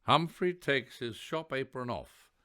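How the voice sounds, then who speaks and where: A man narrating a radio play from a script. His voice is of medium pitch and moves expressively up and down through each descriptive phrase. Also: expressive, measured pace, sharp tone, a little hiss or hum.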